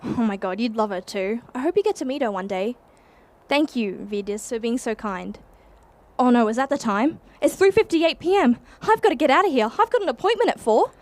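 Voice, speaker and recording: An actress playing a chatty, earnest young time traveller with an Australian accent, narrating a radio play in a breezy, confiding way. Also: female voice, fairly high pitch, animated, brisk, lightly acted. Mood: excited.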